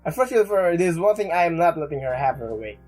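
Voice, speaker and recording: A woman's voice: fairly low pitch, sing-song, racing, darkish tone, faint music behind. A woman hosting a let's play, reading game text aloud and interjecting casually, often repeating words as she goes.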